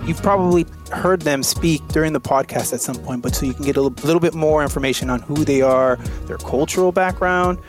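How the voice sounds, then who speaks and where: A man's voice: on the high side, fairly quick, few pauses, music in the background. Man hosting a podcast roundtable. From United States West Coast. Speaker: podcast host.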